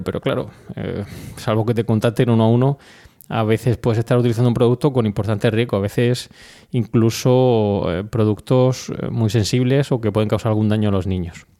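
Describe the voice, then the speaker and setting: A man's voice: lowish voice, mellow. A man with an accent from Spain, hosting a podcast and talking through news items in an informal, explanatory, conversational way. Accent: Spanish from Spain. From Murcia, Spain. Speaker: podcast host.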